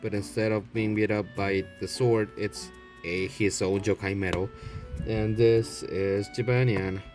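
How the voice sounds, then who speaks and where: Guy w lowish voice doing a sweary unscripted vlog, rambly, music in the bg. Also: steady tone, measured pace, a little mellow. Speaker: video blogger showing a toy collection. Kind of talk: unscripted casual show-and-tell.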